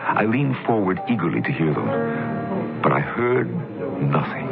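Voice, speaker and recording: A man's voice: sits low, wildly swooping pitch, a little slow, gravelly, full character voice. An actor playing a physicist who narrates his memories and flirts in flashback, in a vintage radio drama. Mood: wistful. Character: older man, physicist recalling his past. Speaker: narrator and lead character. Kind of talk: 1970s radio drama acting. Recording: tinny lo-fi radio broadcast with music.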